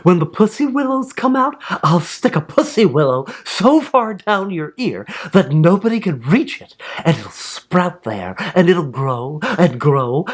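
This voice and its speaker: A man's voice: on the high side, pitched above the performer's own voice. A man voicing a gleefully spiteful, menacing bully girl in a storybook, drawing out threats.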